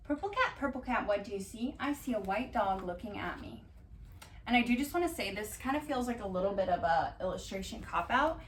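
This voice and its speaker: A woman doing a casual ASMR-style storytime, reading aloud and drifting into dry, deadpan asides. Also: mid-range voice. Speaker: cat vlogger reading bedtime picture books aloud. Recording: distant mic, roomy background noise.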